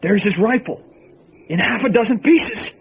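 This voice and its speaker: A man's voice: high voice, lively intonation, moderate pace. An actor playing an alert, urgent lawman in an old-time radio horror drama, with a dramatic, clipped delivery. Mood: shaken. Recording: noisy, dull lo-fi radio recording.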